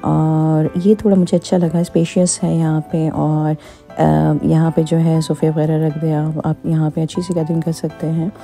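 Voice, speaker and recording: Mellow-voiced woman chatting on a house tour. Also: low-pitched, level tone, normal speed, gravelly, faint music behind. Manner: chatty, many fillers, code-switching into English. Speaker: vlogger giving a home tour. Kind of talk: casual vlog narration. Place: indoors in a show home, walking room to room. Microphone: phone held in hand.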